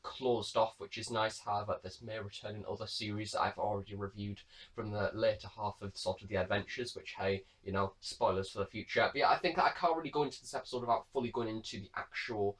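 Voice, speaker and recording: A man's voice: fairly low pitch, fairly even intonation, quick pace, a bit bright. A man giving a review commentary in a rambling, hedging way. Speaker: reviewer, host of an audio drama review series.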